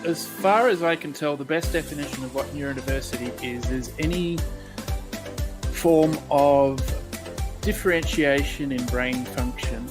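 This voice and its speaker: Woman, very deep voice, explanatory panel talk, music behind. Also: unhurried.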